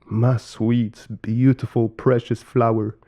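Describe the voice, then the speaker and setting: A man's voice: mid-range voice, little pitch movement, slow, mellow. A man voicing an earnest, emphatic father creator god, loving yet easily exasperated, in a storybook tale. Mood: apologetic, loving.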